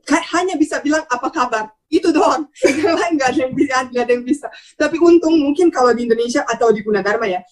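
A young woman chats as a podcast guest. Her voice sits fairly high and she talks quickly. She is expressive and talkative, dropping in English words as she tells her stories. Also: low-quality recording. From Bosnia. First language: Bosnian. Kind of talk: casual conversational storytelling.

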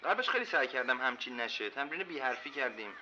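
An actor playing an angry, loud-voiced man who insists he was brought somewhere by mistake, blunt and complaining. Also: mid-range voice, big pitch swings, muffled recording, a little hiss or hum. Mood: defensive.

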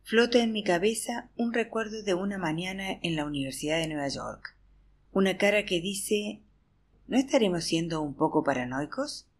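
Husky woman reading an audiobook aloud. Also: medium pitch, lively intonation, normal speed. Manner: acts out a weary teacher's classroom chatter. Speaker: audiobook narrator.

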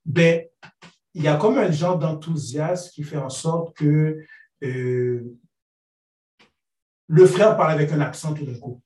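A man's voice: on the high side, slow, pauses often, darkish tone. A man, speaking thoughtfully in a group discussion and searching for his words. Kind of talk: earnest discussion. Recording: roomy, distant from the mic.

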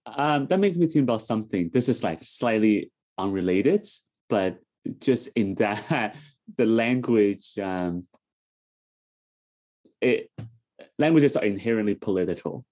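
A man on a discussion panel with a somewhat low, mellow voice. He speaks hesitantly and pauses often as he searches for the right words. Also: animated.

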